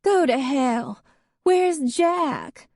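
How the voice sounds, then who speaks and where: Woman narrator playing a drunk, shrieking ex-wife, slurred and very high. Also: expressive, takes it slowly, no room sound, studio quality. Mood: hysterical. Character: drunk furious southern woman, shrill.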